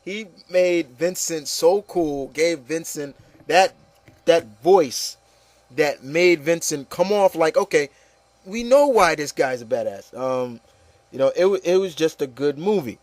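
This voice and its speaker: Man, slightly high voice, dry close sound, emphatic opinionated host, casual repetitive talk. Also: expressive, measured pace, darkish tone, no echo. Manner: emphatic, repetitive, peppered with "you know". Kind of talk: casual opinionated panel discussion.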